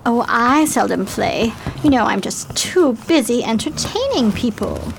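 An actress playing a chic, effusive 1920s society hostess in a radio play, with an affected manner. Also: female voice, on the high side, expressive, measured pace, vocal fry, noisy background. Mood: gushing.

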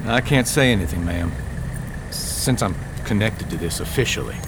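A man's voice: on the low side, lively intonation, moderate pace, heavy background noise, lightly acted. A man playing an earnest, determined, rather formal investigator in an amateur radio drama. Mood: guarded, determined.

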